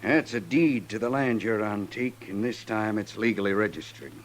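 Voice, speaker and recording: Man as low-voiced, blunt frontier land owner. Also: animated, moderate pace, natural voice. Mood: blunt, firm. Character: hard, greedy male land owner, gruffly softening. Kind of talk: radio western drama acting. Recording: faint hum, old radio broadcast.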